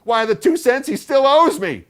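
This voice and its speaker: A man's voice: a little high, normal speed, pitched above the performer's own voice, natural voice. A man reading a story aloud, voicing a stubborn, irritable aunt in a storybook. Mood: indignant. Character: fussy stubborn older aunt, tart and irritable.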